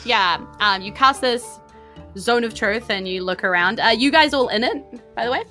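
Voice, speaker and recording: A woman's voice: a little high, normal speed, bright, forceful tone, soft background music. A woman with a New Zealand accent, running a casual tabletop roleplaying game as game master.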